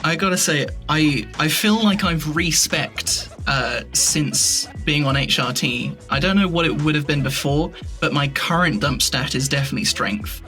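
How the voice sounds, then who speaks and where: Man, bright forceful voice, podcast guest, casual whimsical rambling, faint music behind. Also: on the high side, moderate pace, hardly any pauses. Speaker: podcast guest, audio drama writer. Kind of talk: casual interview chat.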